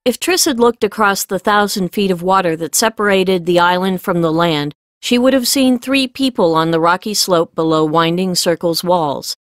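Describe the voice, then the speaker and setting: A woman reading scripted fiction as an audiobook narrator, with measured storytelling delivery. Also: lowish voice, no echo, clean studio sound.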